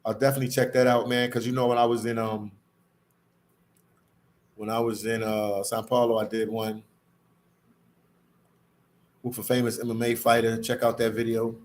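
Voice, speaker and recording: A man's voice: medium pitch, level tone, normal speed, long pauses. A man hosting a casual livestream, chatting to viewers and reading their comments, with a slangy, repetitive way of talking that often addresses them as "man". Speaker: live stream host reading viewer comments. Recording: clear background ambient noise.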